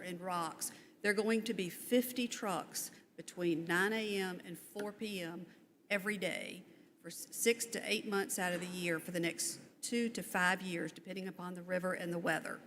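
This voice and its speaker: A woman's voice: on the low side, fairly bright tone. A woman giving semi-formal public comment as a resident, firm but polite. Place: large meeting room. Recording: echoey hall, some background noise.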